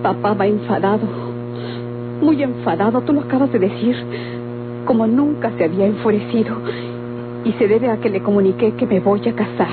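An actress playing an anguished, defiant daughter in a Mexican radio drama, speaking with melodramatic pleading in a Mexican accent. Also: female voice, mid-range voice, normal speed, long pauses, close to an everyday voice. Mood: weary, firm. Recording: tinny, humming old radio recording with music.

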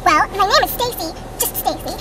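A bubbly, airheaded doll girl, put on by a woman squeals and giggles in a very high, swooping voice. She overplays every line. A loud hiss of noise sits under the whole recording. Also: animated, normal speed. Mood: giddy. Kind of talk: homemade doll comedy video.

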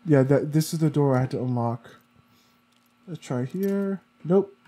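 A man's voice: on the high side, lively intonation, a little slow, dark tone. A sniffly, congested man giving casual gameplay commentary, muttering to himself as he plays. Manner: muttering to himself while playing. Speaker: let's play gamer.

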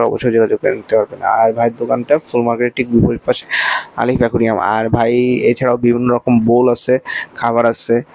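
A man's voice: mid-range voice, vocal fry, darkish tone, dry recording, poor audio. A man with a Bangladeshi accent presenting goods in a promotional shop-tour commentary, with repetitive sales patter. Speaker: presenter showing fish for sale.

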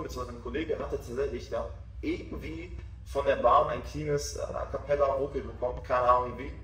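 A man in his twenties, a DJ, talking about his music in a relaxed, self-assured way during a casual interview. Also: medium pitch, recorded from a distance. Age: 20s. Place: small backstage room with sofa, indoors. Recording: echoey room, voice off-mic.

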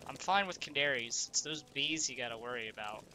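A man's voice: medium pitch, lively intonation, fairly quick, bright, forceful tone, slight background noise, rough recording. A man with a stuffed-up voice, casually talking through his gameplay and asking himself questions as he goes. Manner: chatty, thinks aloud, asks himself questions. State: stuffed up, congested. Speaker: let's play gamer.

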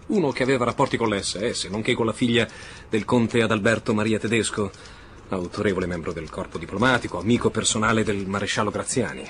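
An actor playing a weary, tense, sardonic police commissioner in an Italian radio drama, with a dry, guarded manner. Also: male voice, fairly low pitch, fast, fairly bright tone, lightly acted. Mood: guarded, sceptical. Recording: noisy background ambience.

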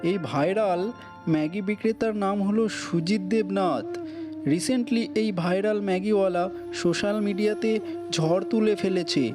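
Cartoon newsreader male actor: high voice, excitable, emphatic breaking-news hype, music running behind. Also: moderate pace, lightly acted. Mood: excited announcing. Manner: emphatic, repeats key phrases. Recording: music under the voice.

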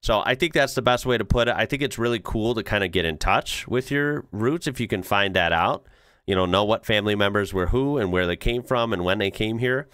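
A man's voice: on the low side, brisk, fairly bright tone, clear, resonant tone, no echo. An American man in his thirties hosting a casual reaction video from a small home studio, talking in loose, easygoing asides into a close mic with a headset on. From United States. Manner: easygoing, chuckling, talks in loose run-on asides. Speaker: reaction channel host. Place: small home studio room with decorated walls.

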